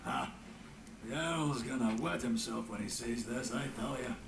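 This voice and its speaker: Actor as gravelly, folksy fantasy comrade. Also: male voice, medium pitch, moderate pace, roomy sound, far from the mic, background noise, lightly acted. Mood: gruff, fond. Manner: folksy, bantering. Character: gruff, teasing, loyal male comrade. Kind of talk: fantasy video game dialogue.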